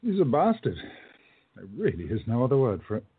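An actor playing a commanding, wry older time traveler with an authoritative, scolding way in a radio play. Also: male voice, medium pitch, huge pitch swings, brisk, husky, narrow, tinny audio, full character voice. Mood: calm, knowing.

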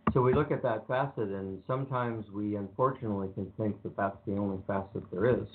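A man co-hosting a radio talk show, speaking in a calm, even, rambling way with many ums. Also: sits low. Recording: phone-like narrow sound.

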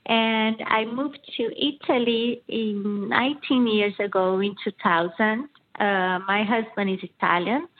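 A middle-aged woman from southern Brazil with a Brazilian Portuguese accent, a coach answering interview questions in a measured, thoughtful way. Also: on the high side, steady tone. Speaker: guest coach. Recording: tinny phone line.

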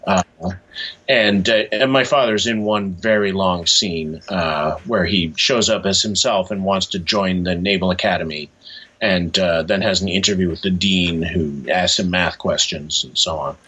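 A man speaking as an author being interviewed, in a halting, thoughtful, conversational way. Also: deep voice, bright, forceful tone, slightly rough. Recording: dull, muffled lo-fi audio.

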